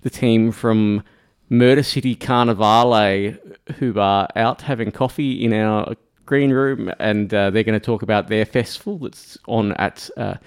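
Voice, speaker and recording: A radio studio host with a resonant, slightly low voice. He talks casually, stopping and restarting often and filling gaps with "uh." He introduces guests and asks interview questions. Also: fairly even intonation, clear, resonant tone. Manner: halting, many fillers and restarts. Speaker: radio arts show host.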